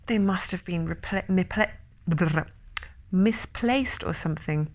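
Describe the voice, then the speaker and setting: A woman voicing a small, timid male piglet in a storybook, squeaky and nervous, stammering and blurting. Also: measured pace. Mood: panicky.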